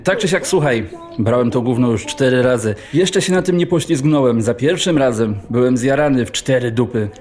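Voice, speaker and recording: A man's voice: medium pitch, normal speed, a little mellow, lightly acted. A man playing a giggly, laid-back stoner buddy in an audio horror-comedy story, talking in casual, foul-mouthed slang. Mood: blasé, enthusiastic.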